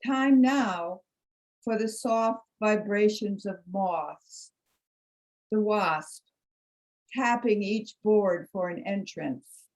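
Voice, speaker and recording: A woman reads poetry at a slow, measured pace. Her pitch sits in a middle range, and she sets out each phrase deliberately, as a reader at a congregational service. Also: a little mellow, poor audio. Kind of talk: introducing and reciting a poem.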